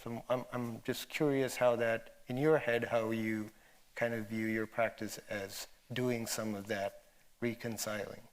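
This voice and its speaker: A man moderating an academic discussion, asking long unscripted questions in a halting, thoughtful way. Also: lowish voice, level tone, poor audio.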